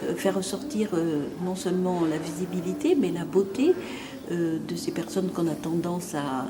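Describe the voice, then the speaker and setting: A woman who is a fashion designer, speaking in a measured, halting way with frequent fillers in an interview. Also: lowish voice, noisy background. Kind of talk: testimonial interview.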